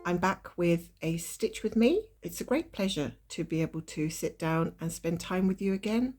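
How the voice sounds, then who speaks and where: A woman chatting casually as she stitches at her desk, her voice a little nasal and hoarse from a lingering cold, with soft laughs and apologetic asides. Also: fairly low pitch, lively intonation, moderate pace, soft background music. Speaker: cross-stitch vlogger. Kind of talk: casual stitch-along chat. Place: at a desk indoors.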